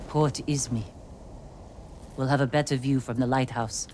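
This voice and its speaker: An actor playing a pragmatic, loyal fighter in a video game, talking in plain, clipped, practical phrases. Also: male voice, medium pitch, fairly even intonation, moderate pace, a little mellow, a little hiss or hum, lightly acted. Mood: watchful, earnest. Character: pragmatic male fighter, loyal companion scouting enemies. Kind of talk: video game cutscene acting.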